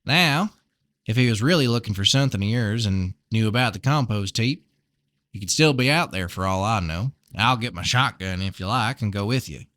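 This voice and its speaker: A man's voice: fairly low pitch, lively intonation, moderate pace, a bit bright, no room sound, clean studio sound. A man reading a novel aloud, voicing a friendly, helpful rural man in a chatty, folksy way. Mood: friendly, eager.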